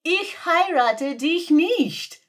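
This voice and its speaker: A flustered young female assistant, read by a woman meets each demand with baffled, exasperated questions. She speaks slowly and deliberately, and her pitch swings widely up and down. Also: expressive, heavily acted. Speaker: podfic reader. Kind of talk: German audio drama reading.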